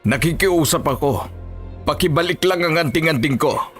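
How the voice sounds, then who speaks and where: A man's voice: a little high, normal speed, lightly acted. A man with a Filipino accent playing a grieving, resolute monster hunter in a horror audio story. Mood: pleading. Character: grieving, defiant male aswang hunter. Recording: faint music behind the voice.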